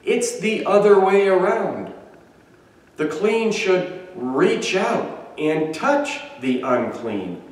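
A man in his sixties, a pastor giving a measured, emphatic sermon with deliberate pauses, filmed by a camera across a small church sanctuary. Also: high voice, very animated. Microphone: far camera. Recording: echoey church from far off.

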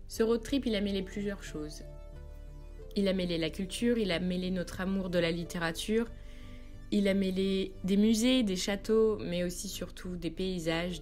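A woman's voice: on the low side, darkish tone. A woman from France narrating a travel vlog in an informal, confiding way, speaking directly to the viewer. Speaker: travel vlogger. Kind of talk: chatty voice-over narration of a road trip. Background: background music.

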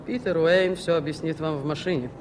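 Radio play: actress for brisk, scornful, superstitious woman, dry and self-assured delivery; noisy vintage broadcast sound. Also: deep voice, fairly even intonation, close to an everyday voice. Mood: brisk, sardonic. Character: brisk, sardonic New York woman, darkly superstitious. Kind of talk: Soviet radio play acting. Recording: noisy 1980s radio recording.

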